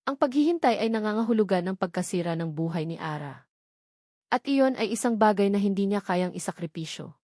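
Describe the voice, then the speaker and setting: A woman's voice: medium pitch, animated, fairly quick, dark tone, no room sound, studio quality. A woman with a Filipino accent reading a scripted, dramatic love story as its narrator.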